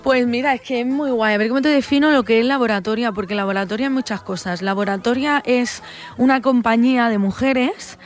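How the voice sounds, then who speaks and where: A woman's voice: a little high, fairly quick. A young adult woman with a Spanish accent from Barcelona, a flamenco singer answering interview questions in a thoughtful, hesitant way, full of fillers and restarts. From Spain.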